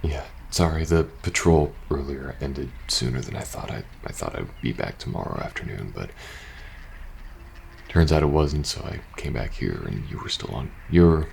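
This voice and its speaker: A man playing a weary, worried young soldier in an intimate ASMR roleplay, speaking softly and hesitantly. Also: rumbling low, big pitch swings, gravelly, slight background noise, heavily acted. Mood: awkward, reassuring. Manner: hesitant, halting, sighing between phrases. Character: weary, worried young male soldier in post-apocalyptic faction.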